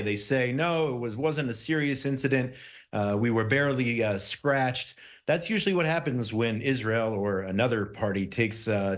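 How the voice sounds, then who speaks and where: A man speaking as a correspondent in a live news interview, emphatic and repeating key words for stress. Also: medium pitch. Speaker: Middle East correspondent, interview guest.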